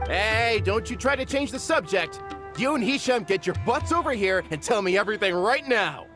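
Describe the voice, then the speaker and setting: Excitable merc dude, high for a guy, really lively, music behind. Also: normal speed. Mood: indignant. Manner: animated, indignant. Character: excitable, grumbling male mercenary comrade. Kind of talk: video game character acting.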